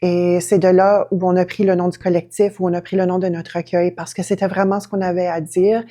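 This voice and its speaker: A woman's voice: lowish voice, little pitch movement, fairly quick, mellow. A woman from a writing collective explaining where its name came from, hesitant and full of fillers. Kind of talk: explanatory storytelling.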